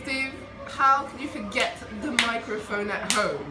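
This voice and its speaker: A woman's voice: a little high, unhurried, roomy sound, loud music behind the voice. A woman, a journalism student, chatting casually with friends in a playful mock-scolding tone.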